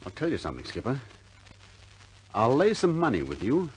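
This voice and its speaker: Plucky, husky-voiced RAF airman. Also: mid-range voice, huge pitch swings, normal speed, full character voice, low-quality recording. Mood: dejected. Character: plucky young male RAF bomber airman, frank and stubborn. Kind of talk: 1950s British war film acting.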